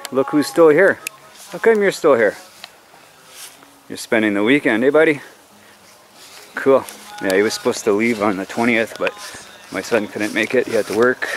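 A man's voice: mid-range voice, rough recording. Easygoing man rambling outdoors. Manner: rambling, easygoing, talks while doing yard chores. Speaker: vlogger. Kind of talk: casual chat. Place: backyard outdoors.

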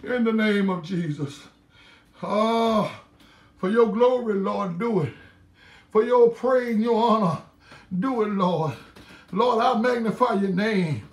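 A man's voice: high-pitched, slow pace, long pauses. A middle-aged man leading a fervent spoken prayer, pleading and calling out over and over with great passion. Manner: impassioned, pleading, repeated cries to God. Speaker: prayer leader. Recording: roomy echo.

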